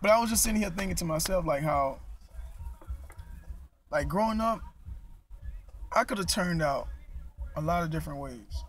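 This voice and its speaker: A young American man vlogging casually, halting and restarting his sentences and clearing his throat, his mouth still numb from dental work, talking outdoors into a handheld camera. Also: a little high, animated, fairly bright tone. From United States. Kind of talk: casual rambling vlog. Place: outdoors in a park.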